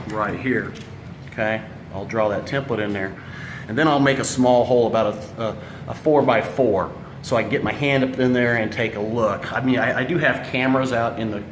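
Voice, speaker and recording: Man, fairly deep voice, tradesman's casual walkthrough, unscripted, echoey room, noticeable noise. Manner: unscripted, explaining step by step. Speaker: home audio installer presenting a how-to video. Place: indoors, room of a house being remodeled.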